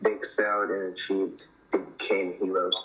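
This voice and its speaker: A man's voice: deep voice, flat delivery, normal speed, fairly bright tone, low-quality recording. A man reading a children's picture book aloud. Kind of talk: read-aloud storytelling.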